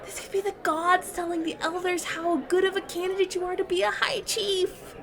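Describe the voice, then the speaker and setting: A woman playing an excitable, supportive young villager in a fantasy audio roleplay, chatty, teasing and informal. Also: very high voice, normal speed, crowd noise. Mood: excited, encouraging. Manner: gushing, informal, emphatic.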